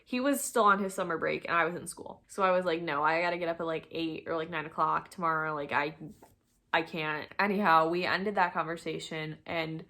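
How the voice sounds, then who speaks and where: Young woman, slightly low voice, casual storytime vlogger, chatty and self-deprecating. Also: fairly even intonation, fairly quick, sharp tone. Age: young adult. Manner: chatty, informal, self-deprecating, acts out quoted dialogue.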